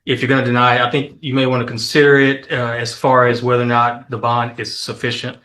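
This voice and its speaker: A man speaking as a prosecutor in a courtroom, questioning and arguing in a halting way with repeated words. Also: medium pitch, flat delivery, pushed and bright.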